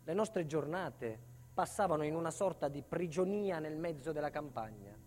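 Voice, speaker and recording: A man's voice: a little high, lively intonation, darkish tone, natural voice. A male actor playing an embittered protected witness recounting a life in hiding, in a solemn, intense theatre monologue. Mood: somber, haunted. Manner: solemn, intense, deliberate phrasing. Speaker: stage actor and narrator. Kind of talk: dramatic first-person theatre monologue.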